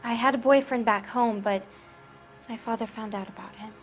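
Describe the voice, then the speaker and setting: A woman's voice: a little high, moderate pace, faint music behind, natural voice. An actress voicing a naive, frightened teenage girl who speaks in a timid, pleading way.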